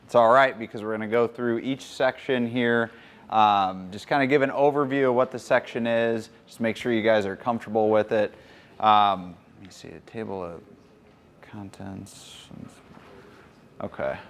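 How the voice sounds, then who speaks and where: A man's voice: lowish voice, moderate pace. Man explaining a lab report to a room. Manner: relaxed, chatty explaining with fillers. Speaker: presenter. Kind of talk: conference presentation. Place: hotel conference room.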